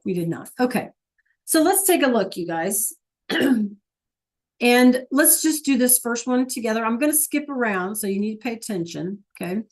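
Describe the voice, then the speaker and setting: A woman teaching a video class at a slow, unhurried pace, keeping to a middling pitch. She stops often to check in with her students, patient and steady. Her voice comes through a computer mic. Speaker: Spanish teacher.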